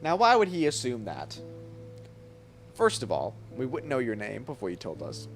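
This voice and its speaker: A man's voice: medium pitch, wildly swooping pitch, moderate pace. Guy streaming a game, slangy reactions, voice goes up and down loads, game music behind him. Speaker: gaming content creator. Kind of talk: casual let's play commentary. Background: video game music.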